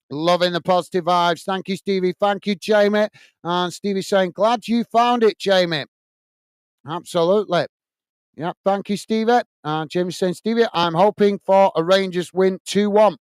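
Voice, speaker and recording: A man's voice: sits high, clean tone, no echo, clean studio sound. Lancashire man calling a football match live. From Bolton, north of England. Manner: chatty, calls viewers mate, frequent uh fillers. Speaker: football watch-along host. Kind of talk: live match commentary and chat reading. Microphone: earphones and desk microphone.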